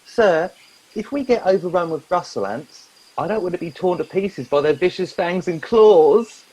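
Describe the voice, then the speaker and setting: A man's voice: sits high, animated, moderate pace, darkish tone, husky, dull audio. A man playing a naive, timid young crewman in a comedy radio play, childlike and whiny. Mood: fearful.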